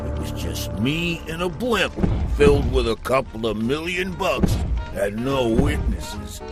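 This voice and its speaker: An actor playing a tough, wisecracking in a video game, with a gravelly, sneering delivery. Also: male voice, mid-range voice, sing-song, a little slow. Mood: wry, satisfied. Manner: brash, slangy, sneering. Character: tough, wisecracking Italian-American mobster, brash and vengeful. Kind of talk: cartoon gangster video game acting. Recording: loud music behind the voice. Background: loud game music.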